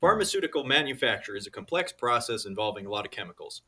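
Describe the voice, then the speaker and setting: A man reads aloud on a podcast in a forceful, rough-edged voice. His delivery is mock-serious, with casual asides. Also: mid-range voice, sing-song, fast talker. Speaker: podcast reader.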